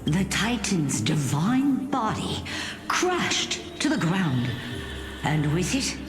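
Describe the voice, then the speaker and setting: An aged grandmother recounts legends for a fantasy game with tender gravity. She speaks slowly and dramatically, weighing each phrase. Her voice rings with clear reverb over prominent music. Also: lowish voice, very animated. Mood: grave, epic. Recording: reverberant studio voice under music.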